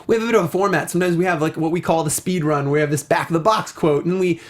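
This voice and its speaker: High-pitched, effusive American man co-hosting. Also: very fast. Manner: rambling, self-interrupting, effusive. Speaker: podcast co-host. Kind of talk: casual enthusiastic talk show chat.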